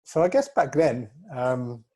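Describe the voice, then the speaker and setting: A man's voice: mid-range voice, animated, unhurried, mellow. A man around forty with a London accent, giving casual, modest, self-effacing answers as an interview guest. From North London, England. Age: 30s or 40s. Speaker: interview guest, fitness coach.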